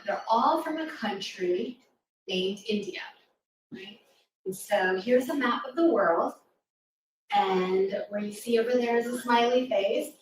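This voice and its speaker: Echoey American woman presenting to children. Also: medium pitch. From New York City suburbs, United States. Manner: teacherly, checking in with "right?". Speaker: children's author and former teacher. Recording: echoey room, distant mic, faint noise.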